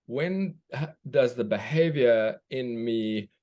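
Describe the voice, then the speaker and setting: A man's voice: medium pitch, slow, a little mellow. A man from South Africa, a children's book author, speaks thoughtfully as a guest, often restarting as he searches for words. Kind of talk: reflective conversation.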